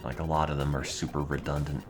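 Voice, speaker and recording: Super low-voiced young guy rambling dryly on stream, music going behind him. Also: flat delivery, fast. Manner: dry, rambling and offhand. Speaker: livestreamer answering chat. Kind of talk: casual stream chat. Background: music playing.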